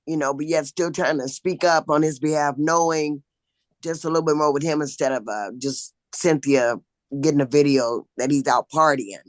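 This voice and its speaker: A woman's voice: sits low, normal speed, no room sound. Woman in casual discussion, very dry sound. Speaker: actor in a script discussion.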